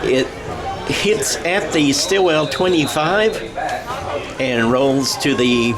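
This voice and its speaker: An American man giving live radio-style play-by-play of a football game, halting and correcting himself as he goes. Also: a little high, lively intonation, crowd noise. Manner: halting, self-correcting as he calls plays. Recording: clear background noise of a ballgame.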